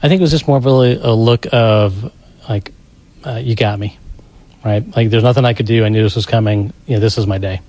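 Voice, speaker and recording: Quick, mellow low-voiced man. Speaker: FBI special agent being interviewed. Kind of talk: interview recollection.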